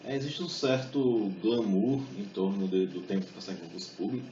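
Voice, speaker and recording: A young man gives a relaxed, conversational talk, dropping fillers and tag questions as he goes. He is caught from a distance in a big echoing hall, and the sound is muffled and dull with a faint hiss underneath. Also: on the low side, a little fast. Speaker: speaker giving a talk. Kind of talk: public talk with exam study tips. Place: event hall.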